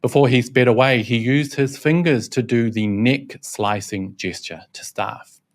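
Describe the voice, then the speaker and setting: A man's voice: medium pitch, a little slow. A young man hosting a scripted news commentary, mocking and scornful in tone. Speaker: host, reporter. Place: Shanghai.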